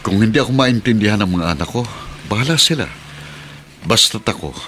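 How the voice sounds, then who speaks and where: A forgiving, stubborn middle-aged Filipino father in a radio play. The voice is deep with a forceful edge, over faint noise. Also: lively intonation, fairly quick. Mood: steadfast. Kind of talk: Filipino radio drama acting.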